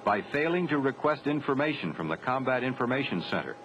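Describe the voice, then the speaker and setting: An American man delivers grave, formal narration in a measured way, with an expressive range of pitch. The sound is a dull 1970s film soundtrack with faint noise behind it. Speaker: documentary narrator. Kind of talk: scripted narration of a 1970s military training film.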